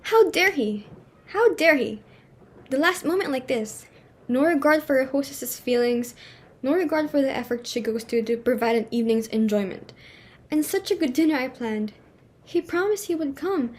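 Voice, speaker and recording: A woman acts out a haughty society hostess and mother from a stage comedy, with a high voice. She is imperious and quick to scold, easily flustered and full of indignation. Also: expressive, husky. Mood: furious, indignant. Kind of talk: dramatic reading of a stage comedy.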